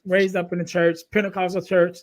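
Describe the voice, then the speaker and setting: A soft-voiced man hosting a podcast, talking in an animated, casual conversational way. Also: high-pitched, brisk. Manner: animated, frequent "you know what I mean". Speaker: podcast host.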